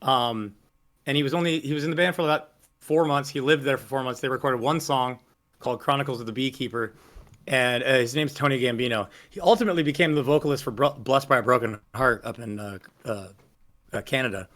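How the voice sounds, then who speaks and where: A man with a low voice casually telling stories in an interview, rambling with lots of fillers and restarts. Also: fairly quick, fairly bright tone. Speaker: singer interviewee. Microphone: video call.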